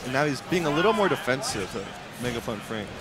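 A man gives casual live commentary on a fighting game as one of the casters. His voice is mid-pitched. Clear venue noise sits behind his chatty delivery. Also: very animated, brisk. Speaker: esports co-commentator. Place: convention center esports event. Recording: noisy event hall background.

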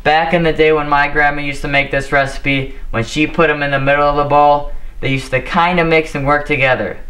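Teen male host, slightly high voice, deadpan scripted cooking demo, home kitchen, camera mic. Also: brisk. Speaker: host of a mock cooking show. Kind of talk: scripted mock cooking demonstration. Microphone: camera mic, a few steps away.

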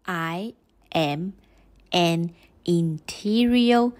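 Slow, low-voiced woman teacher. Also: darkish tone. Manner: reads both sides of short dialogues, then repeats them slowly for learners. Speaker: English teacher. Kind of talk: slow English phrase drills with Mandarin translations.

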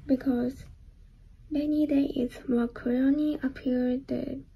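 A fifteen-year-old girl from Japan, presenting a school project in a hesitant, soft-spoken way. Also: high voice, steady tone, takes it slowly, highs cut off, slight background noise. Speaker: student presenter. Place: indoor room.

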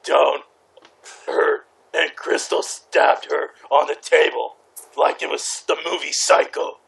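A man reading a story aloud among friends, halting and stumbling over words between casual remarks. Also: fairly high pitch, steady tone, gravelly, a bit bright. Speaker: participant in a group fanfic reading. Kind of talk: amateur read-aloud with casual banter.

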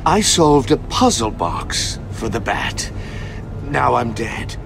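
Smug older riddle villain for a game, slow and gravelly, taunting, music behind. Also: male voice, mid-range voice, sing-song, full character voice. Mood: mocking cruelty. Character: gloating sadistic older male riddle-obsessed supervillain. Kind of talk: video game villain acting.

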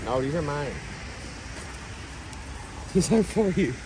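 A woman's voice: low-pitched, animated, slow, noisy background. A woman passerby teasing about her snacks, heard in casual chat.

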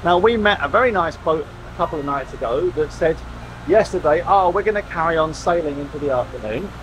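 A man's voice: on the high side, lively intonation, fairly quick, noisy background. Chatty man vlogging aboard a sailboat. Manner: chatty, wry, rambling asides. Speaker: sailing vlogger. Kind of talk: casual vlog narration. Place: aboard a catamaran, outdoors and in the cockpit. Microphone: handheld camera close to face.

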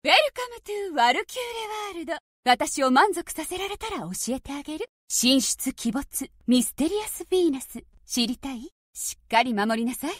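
A woman's voice: sits high, lively intonation, normal speed, fairly bright tone, dry recording, studio quality. A woman voicing a confident, mysterious young diva, commanding and teasing, in anime-style device prompts. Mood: cool, confident. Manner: commanding, teasing, self-assured.

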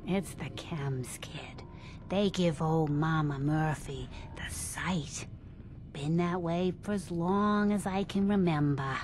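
An older woman playing a frail, folksy old seer in a video game, speaking slowly and haltingly. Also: low voice, a little mellow, slight background noise. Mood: ominous, halting. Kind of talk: game voice acting.

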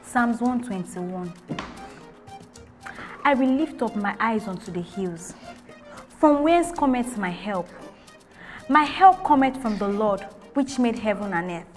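A woman's voice: on the high side, darkish tone. An actress playing a devout woman who solemnly recites scripture in a screen drama. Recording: long reverb with music behind.

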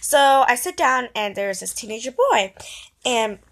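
High-voiced young girl storytelling. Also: a little slow. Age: child or young teen. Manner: animated, dramatic storytelling with mimicked reactions. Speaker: vlogger. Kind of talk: casual storytime vlog chat. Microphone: phone at arm's length.

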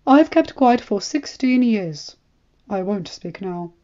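A woman's voice: mid-range voice, animated, takes it slowly, dark tone, dull audio. A woman voicing a shrewd male royal spymaster who speaks formally, in a knowing, indulgent manner. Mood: knowing, insistent.

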